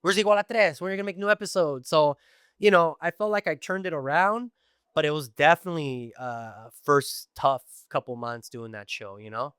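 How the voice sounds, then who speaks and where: A middle-aged man from Tijuana and East Los Angeles, a podcast guest telling stories in a casual, animated way with lots of fillers. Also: fairly high pitch, a little fast, smooth, dry recording, studio quality. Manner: animated storytelling with many fillers.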